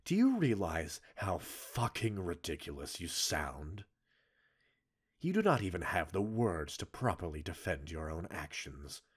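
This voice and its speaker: A male actor voices a stern, cold commander in a scripted ASMR roleplay. His deep, raspy voice reprimands a subordinate in formal, measured phrases, swinging widely between low restraint and forceful emphasis. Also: big pitch swings, full character voice. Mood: exasperated anger.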